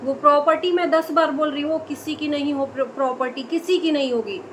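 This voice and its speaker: A woman's voice: high-pitched, brisk, slight background noise. A woman delivering an impassioned commentary, indignant and scolding, full of rhetorical questions. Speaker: video commentator.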